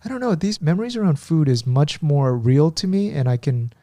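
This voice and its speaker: A man co-hosting a casual podcast, chatty and self-deprecating as he tells everyday stories. His voice sits mellow and relaxed, and the sound is close and dry with no room around it. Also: on the high side, a little fast, no echo. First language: Korean is his second language. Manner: chatty, self-deprecating, laughs at himself, acts out Korean phone calls. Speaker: podcast co-host.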